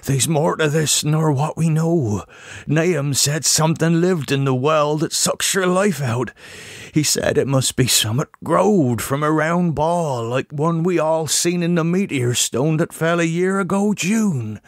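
A man voicing a frightened old country farmer in an audiobook, giving hushed, urgent warnings in folksy rural dialect. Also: fairly high pitch, measured pace, dry recording, clean studio sound, voice pushed up. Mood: fearful, urgent. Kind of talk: audiobook character voice.

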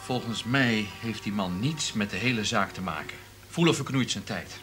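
An actor playing an anxious, sceptical but deferential assistant in a radio drama. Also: male voice, lowish voice, moderate pace, music in the background, natural voice. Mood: objecting. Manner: deferential, worried.

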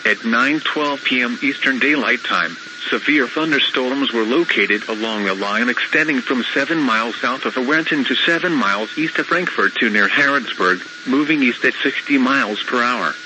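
A synthetic male announcer reading a formal, measured severe weather bulletin over the radio. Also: mid-range voice. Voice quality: synthetic text-to-speech voice. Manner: formal, measured, flatly procedural. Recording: noisy weather radio broadcast recording.

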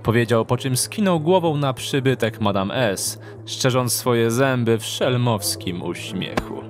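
A man's voice: mid-range voice, expressive, a little slow. A man reading scripted fantasy story narration as the narrator of an audio drama. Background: music.

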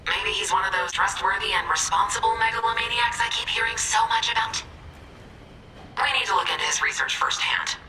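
Snarky, swooping, bright AI hacker girl. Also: medium pitch, fairly quick, distant mic, soft background music, poor audio. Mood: wry, flippant. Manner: sarcastic, flippant, animated. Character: snarky, quirky young female AI hacker. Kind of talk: video game character acting.

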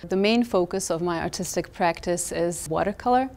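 Croatian-accented woman with a clear voice. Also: on the low side. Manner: thoughtful, with many fillers and gentle pauses. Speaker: visual artist. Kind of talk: reflective interview talk about her art. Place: gallery, indoors, in front of artworks.

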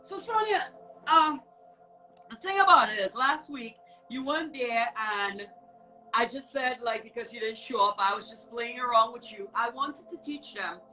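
A woman with a fairly high, very bright and forceful voice hosts a casual live show. She is effusive and encouraging, often repeating herself, with music playing behind her. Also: expressive, moderate pace, rough recording. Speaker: show host. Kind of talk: casual live online show chat with giveaway. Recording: music in the background.

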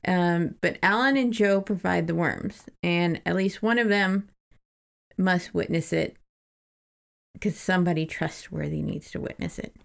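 A woman's voice: on the low side, a little slow, gravelly. A woman co-hosting a podcast, chatting casually about a book. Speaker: podcast co-host.

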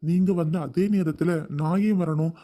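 A woman's voice: low voice, fairly even intonation, normal speed, warm tone, pitched below the performer's own voice, close to an everyday voice. A woman voicing an older male guru who lectures in a preachy, persuasive way. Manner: lecturing, sermon-like.

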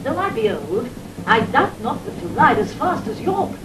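High, swooping, passionate British duchess. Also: female voice, big pitch swings, normal speed, crowd noise. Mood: urgent. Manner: theatrical, emotional verse delivery. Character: passionate, fiercely protective old duchess and mother. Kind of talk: 1960s Shakespearean audio drama acting. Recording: rumbly, noisy vintage 1960s drama recording.

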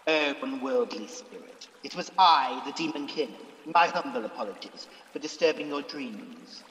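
A man's voice: medium pitch, animated, normal speed, a bit bright, big-room sound, slight background noise, natural voice. An actor playing a scheming, boastful Demon King villain in a radio pantomime, with a grandiose, theatrical delivery. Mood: grand, incanting.

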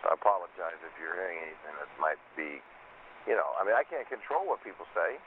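A man with a fairly low voice talks casually over a narrow phone line with faint noise. His delivery is halting and feigns innocence, as a prank caller. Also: expressive. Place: telephone conference bridge. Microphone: telephone.

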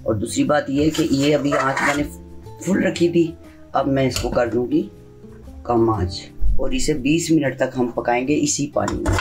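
A woman's voice: very deep voice, moderate pace, darkish tone, poor audio. A middle-aged woman hosts a home cooking channel. She talks viewers through each step in a homely, motherly way. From Pakistan. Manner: homely, motherly, explains while she works. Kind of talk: step-by-step cooking tutorial narration. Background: background music.

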